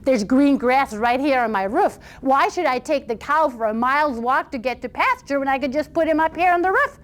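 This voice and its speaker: A woman voicing a stubborn farmer who calmly explains a foolish plan. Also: high-pitched, fairly quick. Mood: smug. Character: smug, stubborn male farmer, sure of his foolish plan.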